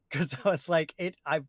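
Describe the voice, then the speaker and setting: A man's voice: a little high, no echo, clean studio sound. A man co-hosting a podcast, chatting casually and informally with enthusiasm. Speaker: podcast co-host. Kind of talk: casual chat about horror games.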